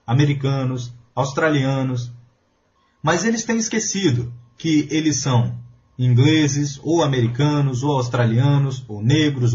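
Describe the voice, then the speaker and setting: A man's voice: medium pitch, lo-fi sound, slight background noise. A man reading a translated spiritual lecture aloud in an earnest, emphatic preaching manner. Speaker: voice-over reader of a translated lecture.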